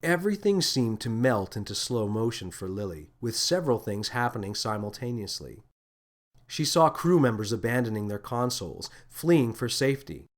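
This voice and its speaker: A man's voice: medium pitch, very animated, normal speed. A man reading scripted sci-fi fiction as an audiobook narrator, in a dramatic storytelling style. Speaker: author and narrator.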